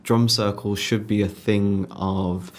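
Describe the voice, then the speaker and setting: A man's voice: fairly low pitch, flat delivery, slow pace. A man from England with a Birmingham accent, chatting reflectively as a podcast interviewer and often repeating his phrases. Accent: Birmingham English. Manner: reflective, repeats phrases, many "you know" and "like". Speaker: podcast interviewer, music photographer. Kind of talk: casual conversation.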